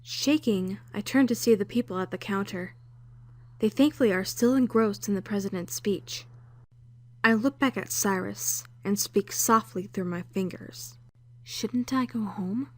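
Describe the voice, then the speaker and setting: A woman's voice: mid-range voice, unhurried, no room sound, close to an everyday voice. A woman playing an anxious young female trainee, earnestly narrating her own thoughts in a visual novel fan dub. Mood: shaken, anxious. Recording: music under a close, dry voice.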